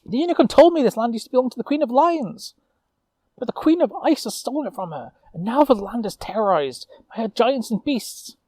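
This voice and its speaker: A man voicing an excitable, idealistic young female witch knight in a storytelling, eager and persuasive. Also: very high-pitched, a little fast, voice pushed up.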